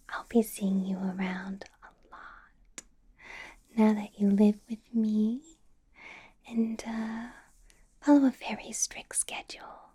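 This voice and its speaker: A woman's voice: on the high side, husky, full character voice. A woman whispering as a possessive, menacing female vampire boss in an ASMR roleplay, sweet on the surface with a threatening edge. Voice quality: soft unvoiced whisper.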